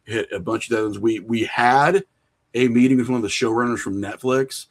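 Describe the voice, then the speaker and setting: A man's voice: mid-range voice, brisk. A man talking informally as an interview guest, telling anecdotes in a self-deprecating, conversational way. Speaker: podcast guest, indie filmmaker.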